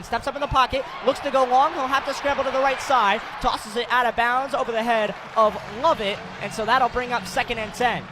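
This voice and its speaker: A young American man doing live football play-by-play in clipped phrases, raising his voice excitedly on big plays. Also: very high voice. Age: young adult. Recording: clear noise, stadium sports broadcast.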